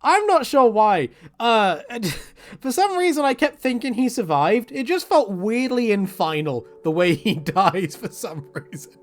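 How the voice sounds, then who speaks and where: A man's voice: sits high, very animated, unhurried. A man giving casual, joking commentary on video games in a rambling, self-deprecating, tongue-in-cheek way. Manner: swears casually.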